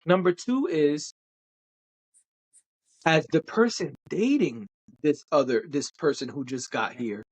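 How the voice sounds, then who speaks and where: A young adult man from Brooklyn, New York, co-hosting a podcast and talking at length in an animated, emphatic way. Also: on the high side, slow, pauses often, low-quality recording. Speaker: podcast co-host. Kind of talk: casual chat.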